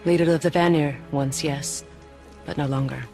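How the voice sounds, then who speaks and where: A woman's voice: low voice, fairly even intonation, normal speed, over music. An actress playing a proud, protective witch goddess in a video game, speaking with firm, dry, weary authority. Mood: cold, proud. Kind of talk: cinematic video game acting.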